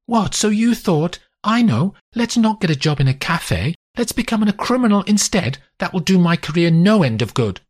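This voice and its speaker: A man's voice: high voice, sing-song, moderate pace, hardly any pauses, voice pushed up. A man playing an enraged, betrayed wife. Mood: furious. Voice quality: voice raised and strained with anger. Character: furious betrayed wife and mother.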